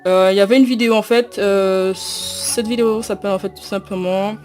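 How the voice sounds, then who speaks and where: A woman's voice: medium pitch, fairly even intonation, a little fast, a little mellow, faint music behind. A young woman from Guadeloupe, not a native French speaker, walking through a phone unboxing with many fillers and hesitations. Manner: hesitant, many fillers and repeated "tout simplement". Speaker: unboxing vlogger. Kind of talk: casual phone unboxing walkthrough.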